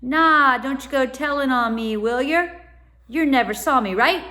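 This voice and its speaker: A rough young street boy, played by a woman reading a storybook aloud. He snarls in urgent dialect, pitched up and forceful, trying to sound tough. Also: unhurried. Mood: snarling, threatening. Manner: growling, dialect-laden, urgent. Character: rough, snarling, scared ragged boy from the past. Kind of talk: children's storybook read-aloud.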